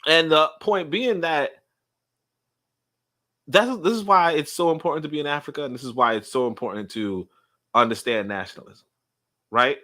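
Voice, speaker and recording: Bright-voiced male panelist. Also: on the high side, normal speed, pauses often. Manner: animated, repetitive, laughing, arguing a point. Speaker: podcast panelist. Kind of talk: livestream panel discussion.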